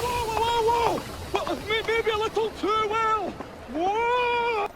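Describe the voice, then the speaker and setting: A man playing a courteous, bumbling, excitable Victorian inventor in a video game, with a stammering, effusive manner. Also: extremely high pitch, noisy background. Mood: alarmed. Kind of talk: video game cutscene acting.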